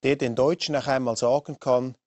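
A man's voice: mid-range voice, steady tone, moderate pace, a little mellow, no room sound. A man hosting and moderating a political debate, asking probing, musing questions with restarts. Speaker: host and moderator.

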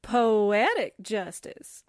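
A woman's voice: mid-range voice, big pitch swings, extremely slow, very noisy. A woman playing a perky, loyal young assistant in a 1940s-style radio drama, with a cute, theatrical delivery. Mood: wry, dramatic.

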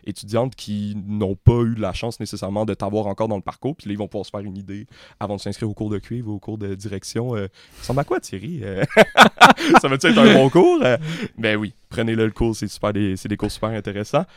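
Young man, Québécois accent, podcast host, halting, lowish voice, dry sound. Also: big pitch swings, fairly bright tone, no room sound. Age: young adult. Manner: friendly, halting, many restarts. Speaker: podcast host, music student. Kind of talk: casual podcast interview.

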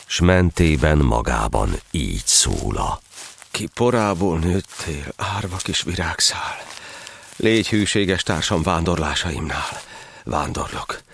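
A man with a deep, creaky voice narrates a dramatic tale in verse. His delivery is solemn and theatrical, with wide swoops of pitch. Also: a little hiss or hum.

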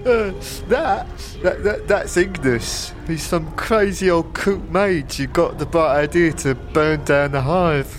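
A man's voice: on the high side, slow pace, faint music behind. A man playing a scruffy drunken tavern regular in a fantasy audio drama, rambling and jittery with slurred, stammering, repetitive speech. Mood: tipsy, rambling. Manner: slurred, stammering, repeats words.

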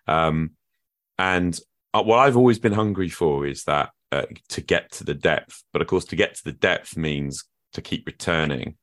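A man's voice: deep voice, moderate pace, a bit bright. A man hosting a podcast interview, musing in an earnest, reflective way. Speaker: podcast host. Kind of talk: conversational interview.

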